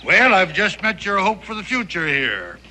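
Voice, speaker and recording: A man's voice: high voice, sing-song, normal speed, sharp tone, slight background noise, heavily acted, poor audio. An actor playing a folksy frontier showman in a TV western, spinning tall tales in a grandiloquent, playful way. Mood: genial, sly. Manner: grandiloquent storyteller. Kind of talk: TV western acting.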